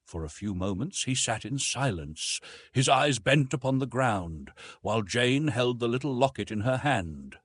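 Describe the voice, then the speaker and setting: A man narrates a classic adventure story in a measured, literary way. His pitch moves widely and expressively through each sentence, and his voice has a husky edge. The sound is dry and close. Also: a bit bright, dry recording. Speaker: audiobook narrator.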